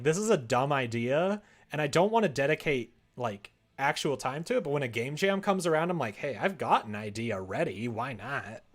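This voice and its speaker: A man's voice: on the high side, a bit bright. A man chatting casually on a livestream while he works, rambling and thinking aloud in a self-deprecating way. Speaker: livestreamer making a visual novel.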